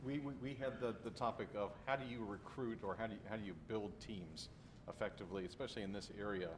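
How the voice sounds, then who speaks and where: A man in his forties summarizing a session at a conference into a handheld mic, halting with many fillers and restarts. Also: fairly low pitch, steady tone. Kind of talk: impromptu summary talk. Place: large conference room. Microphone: handheld microphone. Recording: very echoey room with noise.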